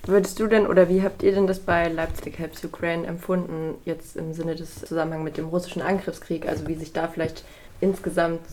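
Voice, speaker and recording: A woman's voice: low-pitched, darkish tone, slight background noise. A woman podcast host asking a long, carefully hedged interview question.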